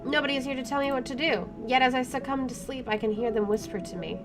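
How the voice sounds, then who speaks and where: A woman hosting a let's play, reading game narration aloud and adding casual, expressive commentary. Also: high-pitched, fast, over music. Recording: clearly audible background noise.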